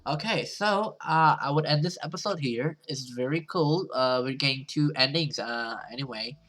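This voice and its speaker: A man's voice: mid-range voice, moderate pace, a bit bright. A man giving casual let's play commentary and reading game text aloud. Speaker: gaming video host.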